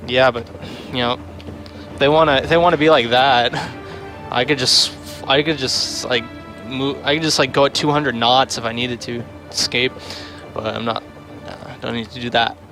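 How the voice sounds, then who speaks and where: A man's voice: mid-range voice, steady tone, normal speed. A man giving casual livestream commentary on a game, rambling and thinking aloud as he plays. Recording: music behind the voice. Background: game music.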